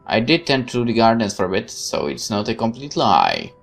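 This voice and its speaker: A woman's voice: a bass voice, wildly swooping pitch, moderate pace, gravelly, soft background music. A woman hosting a let's play, reading visual novel narration aloud and adding her own asides.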